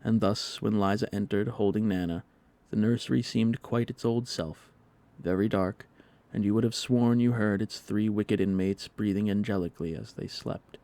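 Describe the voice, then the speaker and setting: Low, mellow male storybook narrator. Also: no room sound. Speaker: audiobook narrator.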